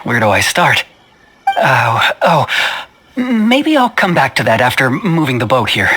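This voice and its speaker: A man's voice: medium pitch, very animated, unhurried, sharp tone. An actor playing a cautious, earnest young man who hesitates and stammers, guarded but friendly. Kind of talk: game voice acting. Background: game music.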